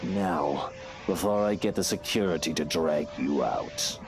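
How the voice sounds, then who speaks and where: A wealthy, menacing man for a video game drama. The voice sits very low, with a cold, bitter, dismissive manner. Clear noise runs through the recording. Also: measured pace. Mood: cold threat.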